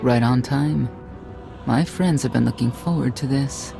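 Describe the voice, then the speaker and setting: An actress playing an enigmatic, solemn woman in a video game, speaking in formal, measured phrases. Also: rumbling low, gravelly, heavily acted. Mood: cryptic. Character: enigmatic, solemn go-between with mystical allies. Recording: loud music underneath.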